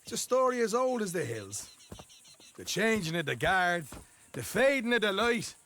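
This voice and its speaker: Game role: cocky Irish lad, high bright voice, theatrical and quick to needle. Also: male voice, sing-song, a little hiss or hum, exaggerated voice. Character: cocky, hot-headed young Irish outlaw lad. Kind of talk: animated video game acting.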